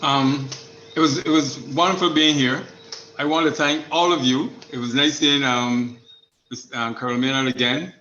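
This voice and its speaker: A man's voice: medium pitch, steady tone, moderate pace, bright, forceful tone. An older man gives informal farewell remarks and thanks on a group call. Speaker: former cadet giving reunion remarks. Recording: echoey, dull, noisy video call audio.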